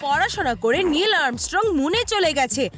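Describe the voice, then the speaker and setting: A scolding cartoon mother at the end of her patience. Her high voice rises and falls dramatically over background music. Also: expressive, a little fast, a bit bright. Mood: exasperated. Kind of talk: dubbed children's cartoon acting. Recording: music under the voice.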